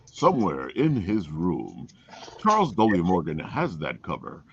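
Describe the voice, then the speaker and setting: A man hosting a casual chat in a jokey, expressive way, with a husky voice. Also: low voice, unhurried, highs cut off, slight background noise. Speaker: talk show host, writer.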